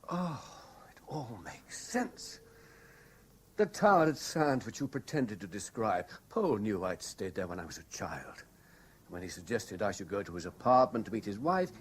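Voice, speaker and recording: An actor playing an obsessed, lovelorn British man in a 1970s radio drama, speaking with intense, deliberate theatrical delivery. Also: mid-range voice, lightly acted. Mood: bitter realisation. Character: obsessed lovelorn man piecing together a betrayal. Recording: noisy old radio broadcast recording.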